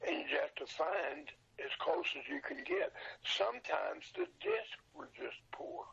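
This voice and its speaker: An older man, past sixty, with a gravelly, husky voice, talking casually and plainly as an interview guest, explaining things informally. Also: very high voice, wildly swooping pitch, unhurried, sharp tone. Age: 60s or older. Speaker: interview guest, old radio show collector and seller. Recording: dull lo-fi sound.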